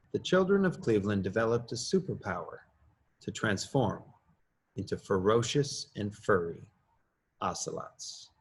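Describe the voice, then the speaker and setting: A middle-aged man, an assistant principal, reading his own children's story aloud with lively rises in pitch. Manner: expressive, lively rises on questions and exclamations.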